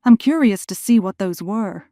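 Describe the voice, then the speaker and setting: A woman acting in an audio drama as a gentle, anxious mother who is grieving. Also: mid-range voice, animated, moderate pace, no echo, studio quality, natural voice. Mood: warm, wry. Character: gentle grieving mother, returned after twenty years, anxious.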